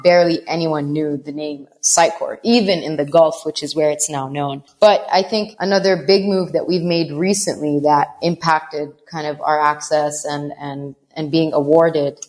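Low-voiced woman guest, talking freely. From Middle East. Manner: fluent, with frequent restarts and repeated words. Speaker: podcast guest, company CEO. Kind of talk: conversational interview answers.

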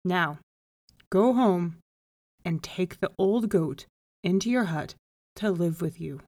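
A woman voicing a wise male village rabbi in a storybook, speaking calmly and deliberately with firm authority. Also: fairly low pitch. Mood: calm instructing. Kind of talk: storybook read-aloud character.

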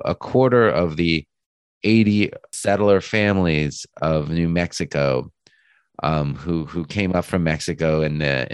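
A hoarse man with a cold, hosting a podcast from his home studio, talking in an earnest, thoughtful way with frequent restarts. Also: sits low, no echo. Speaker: podcast host. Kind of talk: podcast introduction.

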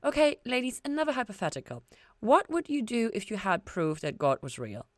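A woman voicing a bubbly, warm-hearted woman with a bright, eager, chirpy manner in a story reading. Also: on the high side, very animated, fairly quick, dry recording, clean studio sound, voice pushed up. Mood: musing, awkward.

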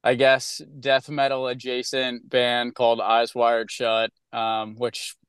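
A musician guest in an interview, answering in a hesitant, laid-back way full of fillers. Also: male voice, mid-range voice, monotone, slow pace, a bit bright, smooth, no room sound. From upstate New York.

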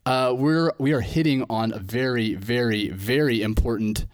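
A man's voice: mid-range voice, moderate pace. Jokey rambling young male podcast host. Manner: jokey, rambling, self-correcting. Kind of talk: casual podcast chat.

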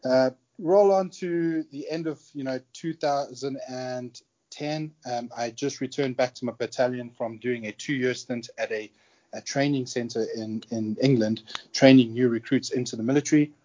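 A South African man in his thirties tells his story over a remote call, chatty and quick to chuckle. His voice sits in a middle range. The audio is muffled and lo-fi. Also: mid-range voice. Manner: chatty, warm, chuckles often. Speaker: guest, security contractor. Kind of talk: casual interview storytelling.

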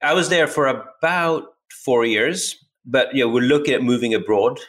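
A man's voice: mid-range voice, moderate pace, fairly bright tone. A middle-aged Italian-born man whose English accent blends British, American and Swedish traces, telling the story of his career as a podcast guest, thoughtful and full of "you know". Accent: mixed British, American and Swedish English. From Italy. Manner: thoughtful, repeats words as he thinks, many "you know" fillers. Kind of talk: casual interview, recounting his career.

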